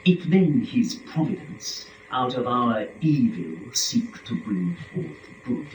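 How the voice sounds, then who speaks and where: In a roomy, distant recording, a proud fallen angel, a male rebel lord of hell, declaiming verse grandly and slowly. The pitch swings widely as the defiant speech swells and drops. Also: big pitch swings, darkish tone. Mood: scornful, resolute. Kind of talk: dramatic reading of epic verse. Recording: roomy, distant mic, faint noise.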